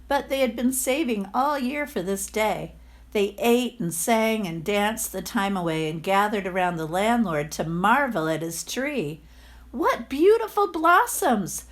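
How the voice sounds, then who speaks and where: A woman's voice: mid-range voice, animated, a little slow. A woman reading a storybook aloud to children, addressing her young listeners informally.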